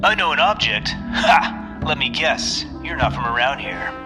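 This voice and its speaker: A man playing a wry, brisk spaceport traffic controller over comms in a sci-fi radio drama. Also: a little high, expressive. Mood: amused. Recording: very loud music behind comms voice.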